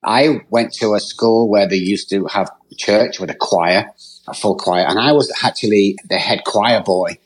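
Husky Mancunian man telling his story. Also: fairly low pitch, a little slow, poor audio. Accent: Manchester. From England. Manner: open, rambling, earnest storytelling. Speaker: guest. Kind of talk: podcast interview storytelling.

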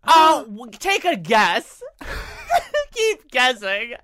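A man's voice: very high-pitched, very animated, drawn-out words, sharp tone, no echo, studio quality. A man with a nasal voice, co-hosting a comedy podcast with rambling, jokey banter and frequent laughs. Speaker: podcast co-host.

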